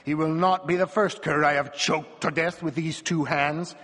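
A burly male circus strongman, boastful and contemptuous, bellowing his threats in a storybook tale, voiced by a man. His voice rises as he shouts, and a long reverb trails each line. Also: a little high, fairly even intonation, moderate pace. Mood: scornful.

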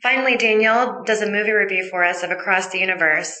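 A woman's voice: medium pitch, fast talker, sharp tone. A woman hosting a podcast, giving an informal rundown of the segments. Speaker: podcast host introducing segments. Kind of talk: informal scripted introduction. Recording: roomy, echoey sound.

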